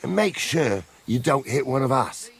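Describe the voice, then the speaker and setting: Seasoned soldier captain, medieval game: blunt, wry, practical, with lively rises and falls. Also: male voice, mid-range voice, normal speed. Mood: brisk, practical. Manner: blunt, colloquial. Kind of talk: video game cutscene acting.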